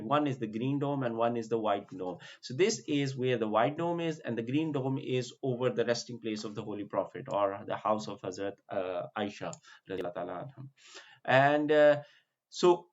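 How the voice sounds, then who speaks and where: A man walks viewers through a virtual tour at an easy pace, stopping often to rephrase and fill gaps with "uh". His voice sits mid-range, and the recording is a bit dull and muffled. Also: mid-range voice. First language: not Arabic. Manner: unhurried, explanatory, with many uh fillers and restarts. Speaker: vlogger giving a virtual tour. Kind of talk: casual screen-share walkthrough.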